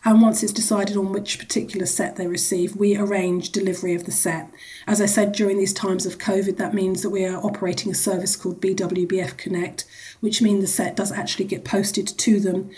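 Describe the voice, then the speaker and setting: A woman with a soft-edged, mellow tone speaks as an interview guest. Her intonation stays fairly level as she explains things conversationally, qualifying and repeating phrases as she goes. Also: mid-range voice, flat delivery, brisk. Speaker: charity executive, interview guest.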